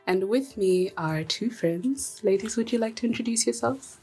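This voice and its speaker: A woman from Zambia in her thirties hosting a casual podcast chat, friendly and quick to laugh, with faint music behind her. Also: on the high side, expressive, dark tone, slightly rough. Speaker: podcast host.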